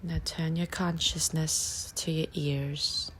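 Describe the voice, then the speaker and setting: Low, very slow woman guiding meditation. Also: flat delivery, a bit bright, a little hiss or hum. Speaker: meditation guide. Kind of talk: guided meditation, slow spoken instructions. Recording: faint outdoor nature ambience. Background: faint nature ambience.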